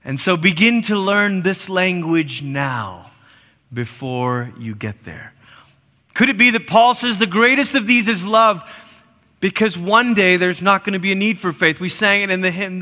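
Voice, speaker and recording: A middle-aged man from Malaysia preaching a sermon in a church, swinging between loud emphatic lines and soft hushed ones. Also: high voice, measured pace. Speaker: pastor. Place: church sanctuary. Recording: long reverb of a church hall.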